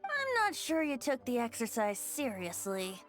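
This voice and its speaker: A whimsical young bard with a high, boyish lilt. The delivery is airy, playful and very animated, theatrical video game acting over faint music. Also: female voice, unhurried.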